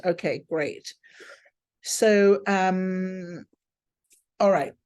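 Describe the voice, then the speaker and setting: Effusive woman teaching, slightly low voice. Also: extremely slow, a little mellow. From England. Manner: effusive, exclamatory. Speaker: teacher of a film finance class. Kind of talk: informal online class teaching. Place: remote video call.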